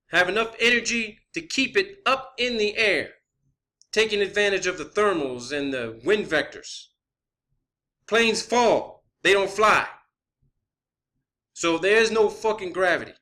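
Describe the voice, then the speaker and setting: Emphatic high-voiced man. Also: animated, measured pace, sharp tone. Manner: emphatic, profane, repeats phrases for stress; checks in often with "okay" and "all right". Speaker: video creator presenting own theories. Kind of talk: informal monologue rant and explanation.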